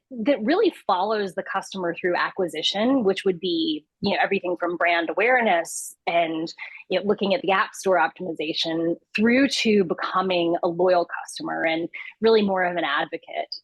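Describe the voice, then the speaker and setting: A woman's voice: fairly low pitch, moderate pace, husky, dry recording, poor audio. A woman in her thirties, a guest in an interview, talking with frequent fillers and restarts. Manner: hesitant restarts, many fillers. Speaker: guest, customer insights lead. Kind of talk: interview conversation.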